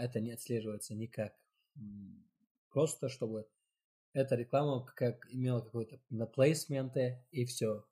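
A man's voice: medium pitch, dark tone, husky. A man with a Chechen accent co-hosting a casual chat, chiming in frankly. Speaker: co-host.

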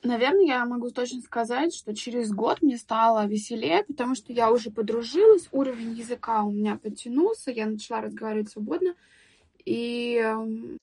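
Breathy higher-voiced young woman, hesitant. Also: a little fast, a little mellow. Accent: Russian speaker living in England. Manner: thoughtful, hesitant, many fillers and restarts. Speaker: guest. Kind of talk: casual podcast interview.

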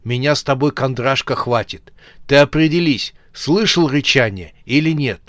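A man's voice: medium pitch, normal speed, a bit bright, no room sound, clean studio sound, close to an everyday voice. A man voicing a cheeky younger male bandit in an audio story, sliding between mock-refined speech and street slang. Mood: brash, impatient.

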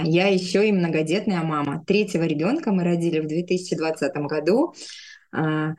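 A woman's voice: fairly low pitch, darkish tone. A woman in her thirties speaking conversationally as an interview guest, telling personal stories. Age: 30s. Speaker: podcast guest, speech therapist.

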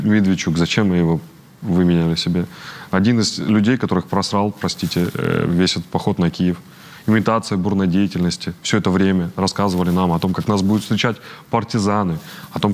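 A man in his thirties from Ukraine, speaking as an interview guest in an earnest, halting way with frequent restarts. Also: low-pitched. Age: 30s. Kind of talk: interview conversation.

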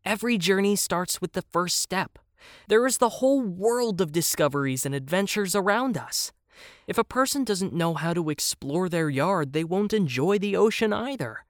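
Storybook child geographer acted by an adult narrator: self-important, emphatic, raised pitch, lively swings. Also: moderate pace, no room sound, studio quality, lightly acted. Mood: proud, explaining. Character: earnest, bossy child explorer playing geographer.

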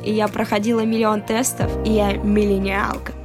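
Young woman with quick interjections. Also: medium pitch, level tone, over music. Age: 20s. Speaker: podcast co-host. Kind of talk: casual chat.

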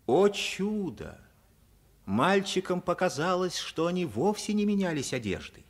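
A man's voice: high-pitched, big pitch swings, measured pace. A man narrating a story expressively for a radio play.

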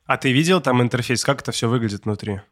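A man's voice: medium pitch, fast talker. A man talking casually as a co-host in a podcast discussion.

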